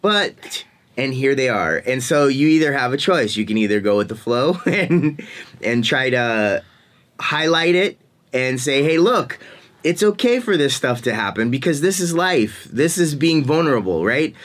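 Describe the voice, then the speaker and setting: A man's voice: medium pitch, lively intonation, a little slow, a bit bright. Rambling man in his forties, laughing easily. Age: 40s. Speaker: podcast host. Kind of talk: casual interview chat.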